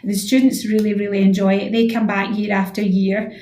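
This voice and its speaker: A woman's voice: mid-range voice, little pitch movement, fairly quick, darkish tone. A Scottish woman in her sixties giving thoughtful, wordy answers as a guest in a conversational radio interview, often repeating her phrases. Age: 60s. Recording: echoey room sound.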